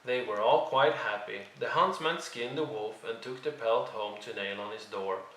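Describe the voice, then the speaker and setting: A man narrating a children's read-along story in an expressive storytelling style. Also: mid-range voice, moderate pace. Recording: roomy, distant microphone.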